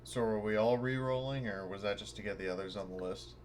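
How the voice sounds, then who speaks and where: A man's voice: fairly low pitch, quick pace, a little mellow. A man playing an online tabletop game, talking casually with the group. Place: online voice call. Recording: noticeable background noise on voice call.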